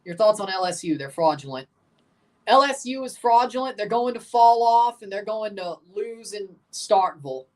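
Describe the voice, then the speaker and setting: A young man with a low voice hosting a livestream, giving blunt, opinionated hot takes while reacting to a soccer match and answering chat questions. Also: normal speed, recorded from a distance. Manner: excitable, jumps high in pitch when agitated.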